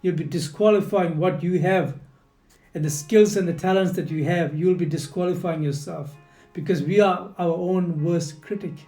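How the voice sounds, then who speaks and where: A man's voice: high voice, moderate pace. A man whose first language is not English, giving an earnest motivational leadership lesson and speaking straight to the listener with lots of repetition. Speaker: leadership coach. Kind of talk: motivational teaching talk. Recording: echoey room with soft guitar music.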